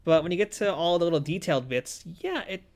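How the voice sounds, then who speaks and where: A man's voice: fairly high pitch, animated, fast talker. A man in his thirties co-hosting a collectible review in a studio, chatty and jokey as he explains details at a table. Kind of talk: casual review chat. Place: indoor studio set at a table.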